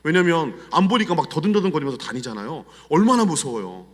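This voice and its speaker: A middle-aged Korean pastor with a Chungcheong accent, telling personal stories in a confiding, animated sermon style. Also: male voice, a little high, fast talker. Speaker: guest pastor. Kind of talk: storytelling sermon. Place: church sanctuary. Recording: long church hall reverb.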